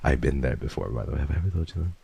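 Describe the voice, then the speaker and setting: Deep voiced guy streaming, chatty and sweary, bounces up into high yelps, dry mic. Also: racing, creaky, husky, no room sound. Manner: swears freely, jumps between mumbling and excited high yelps. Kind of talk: casual chat with viewers.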